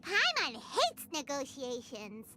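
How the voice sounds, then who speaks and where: A tiny, perky fairy companion from a video game. The childlike squeak is extremely high and swings wildly in pitch, excitable throughout, over loud background noise. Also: female voice, slow pace, full character voice. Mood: excited. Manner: squeaky, exclaiming, refers to herself by name.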